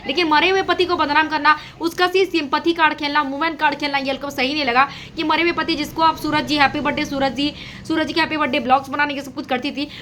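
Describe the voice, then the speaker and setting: A woman with a very high, bright and sharp voice. She gives casual reaction commentary straight to her viewers, hammering points home through repetition. There is faint noise behind her. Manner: repetitive, emphatic phrasing. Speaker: reaction video host. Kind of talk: casual gossip commentary to an audience.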